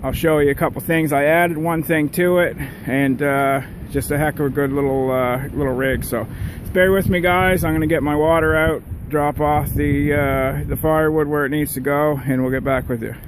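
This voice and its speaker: Maritime Canadian man chatting in loud wind. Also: fairly high pitch, fairly even intonation, normal speed, few pauses. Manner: folksy, repetitive, often addressing viewers directly. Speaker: outdoor vlogger. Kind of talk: casual rambling chat to camera. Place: outdoors among trees in strong wind. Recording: very loud gusting wind outdoors. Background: strong wind noise.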